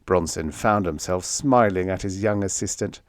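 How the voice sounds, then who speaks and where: A man reading scripted story narration for an audiobook in an expressive, dramatic manner. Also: low-pitched, moderate pace, no room sound, studio quality.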